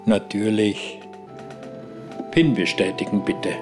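An actor playing a stern, condescending official who interrogates and fines a hiker in a dry tone. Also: male voice, fairly low pitch, takes it slowly, over music. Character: stern, smug male nature-reserve enforcer.

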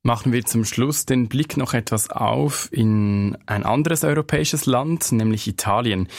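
A man interviews a guest with measured, pointed questions. His voice has a gravelly edge in the lower register. The sound is dry and close. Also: dry recording.

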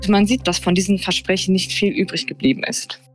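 A woman from Germany, a journalist, answering interview questions thoughtfully, pausing with fillers. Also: mid-range voice, fairly even intonation, over music. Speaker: journalist guest.

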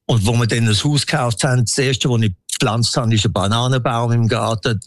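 A man in his sixties with a Swiss German accent, telling his life story as an interview guest in a halting, anecdotal way. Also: mid-range voice, steady tone, no echo. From Switzerland. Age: 60s.

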